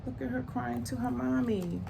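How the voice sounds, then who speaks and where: A woman reading a picture book aloud to young children in an expressive, deliberate way, pausing to explain things in simple words. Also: on the high side, gravelly, heavy background noise.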